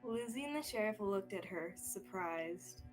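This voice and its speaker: A woman's voice: medium pitch, expressive, measured pace, low-quality recording. A young woman reading scripted narration as the storyteller of a student radio drama. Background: soft music.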